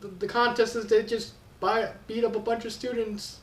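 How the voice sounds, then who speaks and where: A man with a high-pitched voice delivers a casual review. He rambles and leans on his points with exasperated emphasis. The recording is echoey, and he sounds some distance from the microphone. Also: level tone, normal speed. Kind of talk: casual spoken fan fiction review. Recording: roomy echo, distant from mic.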